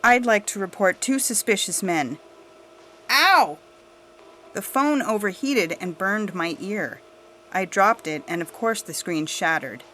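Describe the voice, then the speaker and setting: Slow-talking indignant suburban busybody mother played by a woman. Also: mid-range voice, expressive, fairly bright tone, a little hiss or hum. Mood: exasperated. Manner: gossipy, indignant. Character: self-righteous suburban busybody mother, suspicious and oblivious. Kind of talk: scripted audio fiction monologue.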